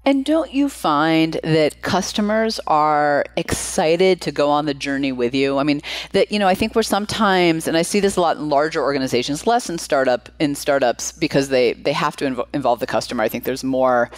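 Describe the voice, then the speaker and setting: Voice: mid-range voice, moderate pace, few pauses, no room sound. A podcast host interviewing a guest in a friendly way, asking long, winding questions.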